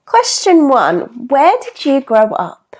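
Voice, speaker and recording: A woman's voice: high voice, huge pitch swings, slow pace, a little mellow. A woman casually interviewing her co-host on a podcast, asking questions in a chatty style.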